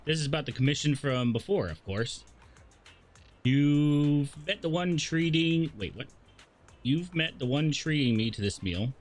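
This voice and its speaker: A man livestreaming a game in a casual, irreverent way, slangy and sweary as he reads dialogue and reacts. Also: medium pitch. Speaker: streamer. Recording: game music behind the voice.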